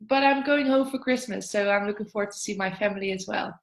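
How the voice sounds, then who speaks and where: A woman in her twenties from the Netherlands, hosting a casual interview over a video call in a friendly, unhurried way. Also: medium pitch, gravelly. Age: 20s. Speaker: host. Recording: echoey room.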